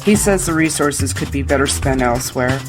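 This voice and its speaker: An actress playing a brisk camp overseer who is eager to please her boss in an audio drama. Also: extremely low pitch, music in the background. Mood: businesslike.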